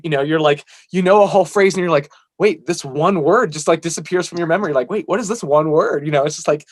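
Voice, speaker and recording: A man's voice: fairly high pitch, no echo. A man being interviewed as a musician, chatting casually in a rambling, self-deprecating way.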